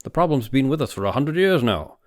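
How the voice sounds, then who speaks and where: A man's voice: very animated, brisk, close to an everyday voice. A man voicing a huge, domineering older doctor in an audiobook, heavy-voiced, emphatic and insistent. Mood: intense, insistent. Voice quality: heavy, booming. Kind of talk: audiobook character dialogue.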